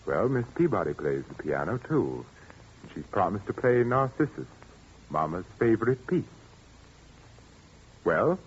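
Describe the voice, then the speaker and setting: A man's voice: lowish voice, big pitch swings, a little slow, long pauses, slightly rough, heavily acted. An actor playing an anxious widowed father in a vintage radio drama, coaxing at first and then anguished. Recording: dull old radio recording with faint hiss.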